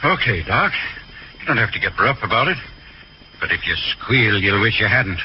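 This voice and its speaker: A man's voice: lowish voice, very animated, moderate pace, husky, full character voice. An actor playing a shifty working-class father, defensive and colloquial, in a 1940s radio drama style. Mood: grudging. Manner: colloquial, evasive. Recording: muffled old radio recording, faint noise.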